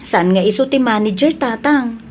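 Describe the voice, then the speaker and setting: A woman's voice: on the high side, moderate pace, natural voice. A Filipino woman with an Ilocano accent playing a meek, apologetic young new employee in a comedy-drama, hesitant and deferential. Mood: weary, worried.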